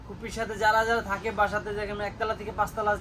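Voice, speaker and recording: Bangladeshi shop guy doing a sales pitch, really high voice, talks fast, keeps checking you follow. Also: flat delivery, bright, forceful tone, slight background noise. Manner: repeatedly checks with tag questions. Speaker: toy shop seller presenting products.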